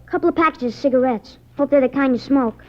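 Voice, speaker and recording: High-voiced earnest American boy. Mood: earnest, shy. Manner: earnest, halting. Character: polite, earnest, awkward juvenile boy, eager to please. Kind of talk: 1950s radio drama acting. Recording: muffled 1950s radio recording.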